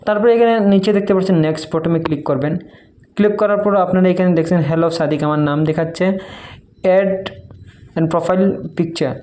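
A man's voice: high voice, moderate pace, warm tone. A man from gives an informal step-by-step app tutorial, explaining repetitively and mixing in English tech terms. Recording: noisy home-made recording with clicks.

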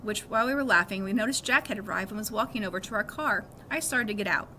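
A woman's voice: medium pitch, quick pace, sharp tone, a little hiss or hum. A middle-aged woman reading a story aloud like a teacher, expressively, lifting her voice for characters' lines. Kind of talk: storybook read-aloud, narration with acted dialogue. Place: small cluttered school office, indoors.

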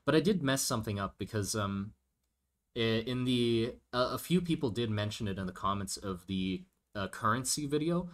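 A man's voice: medium pitch, animated, moderate pace. A man chatting casually on a livestream while he draws, thinking aloud and answering viewer questions with many restarts. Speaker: streamer drawing a fantasy world.

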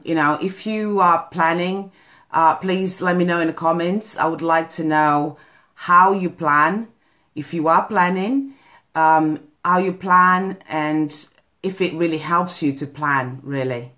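A woman from Portugal speaks to an online group through a phone held at arm's length in a small office. Her voice sits low and her pace is unhurried. She talks in a chatty way, filling pauses with ums and checking in with "okay?". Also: a bit bright. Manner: chatty, tags points with "okay?", many ums. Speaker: business coach. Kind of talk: live video talk to a group. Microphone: phone camera at arm's length.

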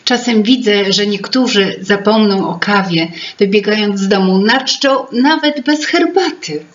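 A woman voicing a chatty female fairy-tale coffee-pot, a placating sister who gently complains. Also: medium pitch, unhurried, muffled recording, lightly acted. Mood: agreeing, chatty.

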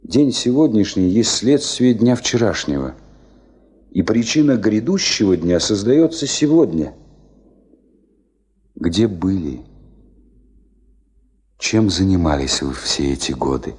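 A man's voice: deep voice, big pitch swings, takes it slowly, long pauses, a little mellow. A man giving solemn, declamatory literary narration in a 1970s Soviet radio drama. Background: orchestral music.

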